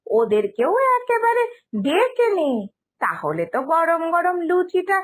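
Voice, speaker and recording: Woman acting older cartoon auntie, very high voice, eager, touchy, exclaiming, full of emphatic questions. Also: wildly swooping pitch, a little slow, exaggerated voice. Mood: eager, surprised. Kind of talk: cartoon story dialogue.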